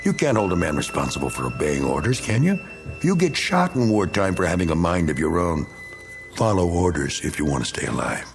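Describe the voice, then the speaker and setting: An actor playing a bitter, bigoted, self-pitying middle-aged American war veteran in a radio drama, with blustering, needling, slangy tough talk. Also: male voice, sits low, big pitch swings, a little fast, over music. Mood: defensive. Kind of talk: dramatic radio play acting.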